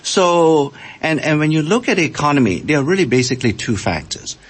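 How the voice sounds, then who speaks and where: An older man from Malaysia, a scientist and interview guest, explaining his points with halting starts. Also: fairly high pitch, sing-song, slightly rough. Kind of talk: radio interview answers.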